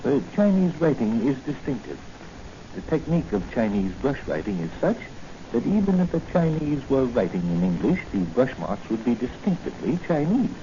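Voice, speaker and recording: Actor as mellow, shrewd old-radio doctor. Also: male voice, medium pitch, big pitch swings, lightly acted. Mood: thoughtful, analytical. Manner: hesitant 'uh' openings, deliberate, expository. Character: shrewd, avuncular druggist doctor, kindly mentor. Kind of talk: 1940s radio drama acting. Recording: muffled, noisy 1940 radio recording.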